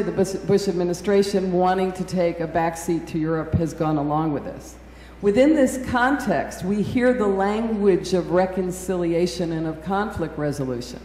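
A woman in her fifties giving earnest, deliberate testimony at a congressional hearing, at a desk microphone. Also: on the low side, a little mellow. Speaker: witness testifying. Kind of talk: formal testimony. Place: large hearing room. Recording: echoey hall, faint room noise.

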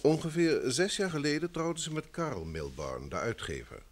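A man's voice: fairly high pitch, sing-song, moderate pace. An actor in an old Dutch radio play, playing a polite, wealthy businessman, earnest and troubled, with a formal, courteous manner. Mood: grave, troubled.

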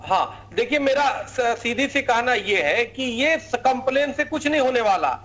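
A man's voice: very high-pitched, expressive, measured pace, fairly bright tone. A middle-aged man arguing emphatically as a religious leader and guest in a TV news debate. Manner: emphatic, argumentative. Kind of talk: TV debate argument.

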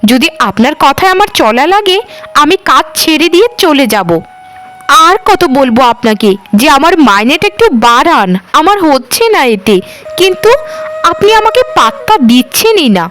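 A woman's voice: high-pitched, big pitch swings, moderate pace, a bit bright. A woman voicing a stubborn but caring young housemaid in a cartoon, with an argumentative manner. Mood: defiant. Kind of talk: cartoon voice acting.

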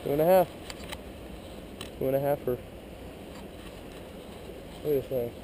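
A man fishing at a creek outdoors, chatting in an excitable, squeamish way, recorded on an action camera. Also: mid-range voice, noisy background. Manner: excitable, squeamish, quick to laugh. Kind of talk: casual chat while fishing.

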